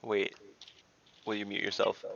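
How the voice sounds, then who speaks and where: A man's voice: lowish voice, completely flat delivery, unhurried, gravelly, a crowd in the background. A man casually describing a character as a player in a tabletop game on a video call. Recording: slightly dull video-call audio.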